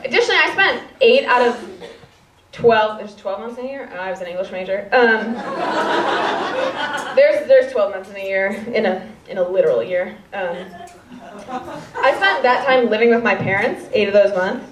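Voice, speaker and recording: A woman's voice: mid-range voice, animated, normal speed, long pauses. A woman in her twenties with a Chicago accent doing a stand-up set, rambling and self-deprecating with many fillers. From Chicago, US Midwest. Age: 20s. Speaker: stand-up comedian. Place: stage in a hall. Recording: roomy, distant, dull-sounding live recording.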